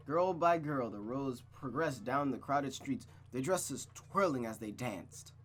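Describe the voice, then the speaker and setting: A man's voice: mid-range voice, slight background noise. Chatty young man hosting a story stream. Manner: energetic, chatty, swears casually. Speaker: host reading a visual novel. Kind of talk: casual gaming chat and story narration.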